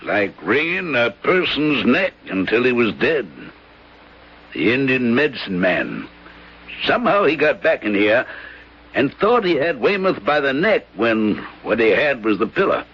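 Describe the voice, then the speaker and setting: An actor playing a superstitious, grimly emphatic innkeeper who believes in ghosts, in a radio drama. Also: male voice, medium pitch, big pitch swings, unhurried, breathy edge, exaggerated voice. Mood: alarmed, grim. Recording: muffled old radio broadcast.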